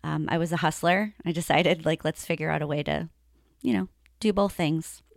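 A woman's voice: low-pitched, moderate pace, gravelly, no echo, clean studio sound. A woman hosting an interview over a video call, chatty, with many fillers and frequent laughs. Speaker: podcast host. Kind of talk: casual interview chat.

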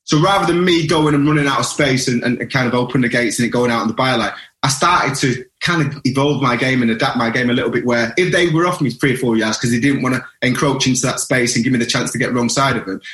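A man's voice: mid-range voice, fast talker, few pauses, a bit bright, echoey room. A man from England, a former footballer, reminiscing as a guest on a casual podcast, rambling and earnest with frequent restarts.

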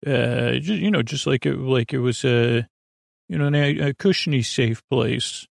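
A man with a creaky voice hosting a sleep podcast, rambling in a lulling, soothing way with halting tangents and word fragments. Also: medium pitch, no room sound, studio quality. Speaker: podcast host, bedtime storyteller. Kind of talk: meandering bedtime monologue for a sleep podcast.

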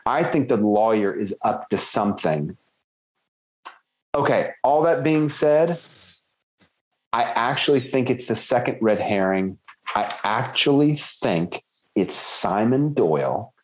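A man co-hosting a casual book-club podcast, thinking aloud and hedging as he weighs theories with lively emphasis. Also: mid-range voice, takes it slowly, rough recording. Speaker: podcast co-host.

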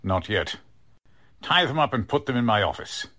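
A man acting a fussy, smug company man with a crooked side in a 1940s-style radio drama, his voice husky. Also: mid-range voice, very animated, normal speed, pushed and bright. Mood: smug, menacing. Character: fussy company man who is secretly a smug crime boss.

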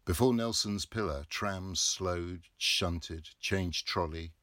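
A man in his fifties narrating a literary novel with measured, deliberate phrasing. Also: low-pitched, a bit bright, no room sound, studio quality. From England. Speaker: audiobook reader.

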